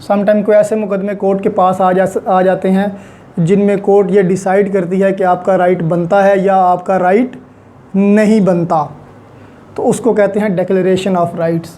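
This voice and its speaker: A law lecturer with a Pakistani accent explains terms deliberately, repeating them and mixing in English legal vocabulary. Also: mid-range voice, little pitch movement, dark tone.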